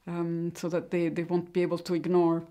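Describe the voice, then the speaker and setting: A middle-aged woman who grew up in Italy, giving a personal account in a hesitant, halting way. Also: lowish voice, completely flat delivery, dark tone. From born in Mexico, grew up in Italy. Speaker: interviewee giving personal testimony.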